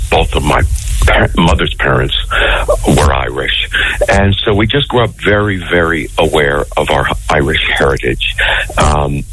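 Very deep-voiced American man, faintly noisy line. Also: fairly bright tone. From New England, United States. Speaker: interview guest. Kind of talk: conversational radio interview. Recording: faintly noisy remote connection.

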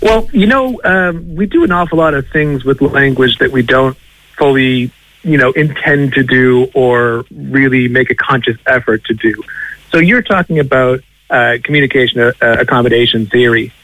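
A man's voice: mid-range voice, moderate pace, fairly bright tone, no echo, low-quality recording. A man with an American accent tinged with Irish, explaining things conversationally as an academic guest, in a hedging, discursive way. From Boston, United States. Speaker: linguistics professor, radio guest.

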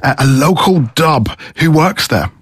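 A man's voice: a little high, animated, a little slow, a bit bright, slightly rough. A man reporting for radio, asking guests friendly, leading questions. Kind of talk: on-location interview.

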